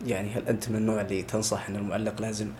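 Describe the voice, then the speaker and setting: A man's voice: fairly low pitch, little pitch movement, very fast, darkish tone, slight background noise. A man hosting a podcast, asking long, chatty questions. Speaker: podcast host. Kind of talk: casual interview.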